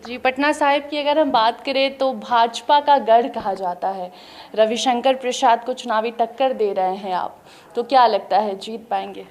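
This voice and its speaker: A woman's voice: a little high, steady tone, normal speed, a little mellow. A woman news interviewer who introduces the guest and asks direct, formal questions.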